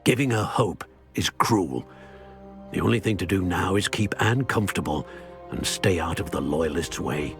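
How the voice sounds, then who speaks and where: An actor playing a stern, bitter middle-aged uncle who talks harshly and dismissively, in a video game cutscene. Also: male voice, low voice, normal speed, vocal fry, over music. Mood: cold.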